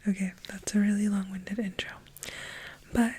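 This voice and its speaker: A woman of thirty talking softly and in a rambling way into a close microphone for an ASMR video. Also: mid-range voice, steady tone, normal speed, husky. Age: 30s. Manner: rambling, soft-spoken, giggly. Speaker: ASMR creator. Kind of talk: soft-spoken ASMR chat.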